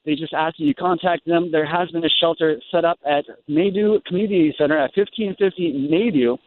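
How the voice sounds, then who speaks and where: A man's voice: fairly high pitch, fairly quick, dry recording. American male field reporter on a phone line. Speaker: radio news field reporter. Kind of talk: live on-scene breaking news report. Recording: thin phone-like live radio line.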